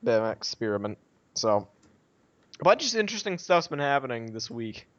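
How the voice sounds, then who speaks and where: A man's voice: medium pitch, very animated, a little fast, fairly bright tone, dry recording. Man hosting a casual podcast, muffled audio. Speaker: podcast host. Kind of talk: casual group podcast chat.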